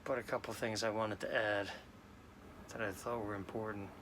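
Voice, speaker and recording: A man in his late forties from New York, talking slowly and haltingly in a sad, subdued way as a sports card vlogger. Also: lowish voice, level tone, fairly bright tone, a little hiss or hum. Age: 40s. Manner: halting, subdued, many restarts. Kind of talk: casual personal talk to camera.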